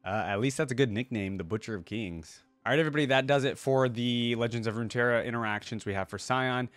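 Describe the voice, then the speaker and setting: A man's voice: medium pitch, fast talker, a bit bright, dry recording. A man hosting a reaction video, talking casually and informally in chatty commentary with exclamations.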